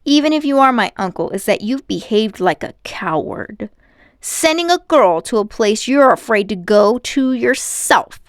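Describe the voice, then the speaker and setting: A woman's voice: a little high, expressive, unhurried, no room sound, clean studio sound. A woman voicing an outspoken, hot-tempered schoolboy in storybook dialogue, blunt, indignant and accusing. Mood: angry.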